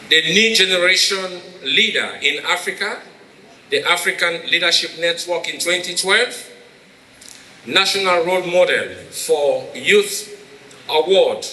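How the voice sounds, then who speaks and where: High, bright, declamatory Sierra Leonean man. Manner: grandiloquent, emphatic, declamatory. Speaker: master of ceremonies introducing the keynote speaker. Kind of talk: formal ceremonial introduction speech. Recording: roomy echoing PA sound, faint noise.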